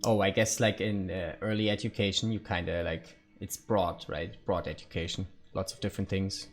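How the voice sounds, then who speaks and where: A man's voice: sits low, little pitch movement, moderate pace. A young man, a native Hungarian speaker, chatting casually with viewers while streaming a game, full of fillers and asides. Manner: chatty, answers viewer chat, many fillers. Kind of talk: casual chat while gaming.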